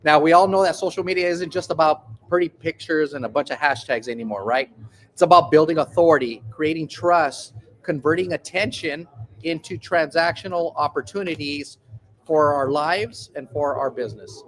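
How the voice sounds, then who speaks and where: A man's voice: on the high side, moderate pace, a bit bright, rough recording. A man hosting a live online masterclass, introducing the guest in an upbeat, formal, announcer-like manner over a video call. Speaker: host.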